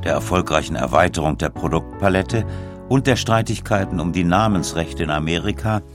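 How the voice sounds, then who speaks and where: A man narrating a scripted documentary in a measured, precise way. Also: sits low, expressive, over music.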